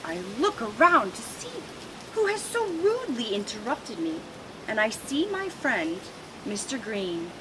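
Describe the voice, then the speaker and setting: Lady reading a kids' story out loud, high and super expressive, noisy recording. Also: unhurried. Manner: animated, expressive first-person narration. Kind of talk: children's read-aloud.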